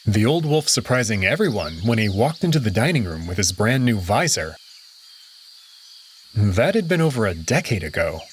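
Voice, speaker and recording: Expressive man narrating a story. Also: fairly low pitch, normal speed, a little hiss or hum. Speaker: narrator and lead character of a visual novel. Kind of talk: first-person scripted narration of a visual novel.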